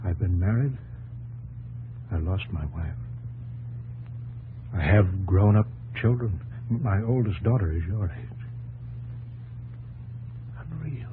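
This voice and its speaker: Weary, brooding middle-aged inventor. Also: male voice, fairly low pitch, lively intonation, narrow, tinny audio, slight background noise. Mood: despondent, weary. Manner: halting, brooding, dramatic. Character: weary, bitter 53-year-old inventor professor, brooding. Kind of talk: 1950s radio drama acting. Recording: old radio broadcast, music behind.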